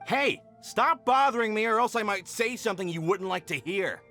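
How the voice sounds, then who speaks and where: A man's voice: high voice, lively intonation, moderate pace, pushed and bright, soft background music, exaggerated voice. A man voicing a rude, brusque townsman in a video game, dismissive in manner. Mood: hostile.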